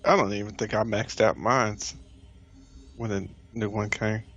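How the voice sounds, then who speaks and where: A young man doing casual gameplay commentary on a stream, excitable and quick to doubt what he hears. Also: fairly low pitch, muffled recording, soft background music. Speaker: game streamer.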